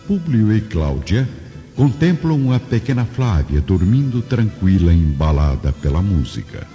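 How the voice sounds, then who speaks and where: Deep, reverberant male radio drama narrator. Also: big pitch swings, a little slow, warm tone. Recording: dull lo-fi audio, long reverb, music.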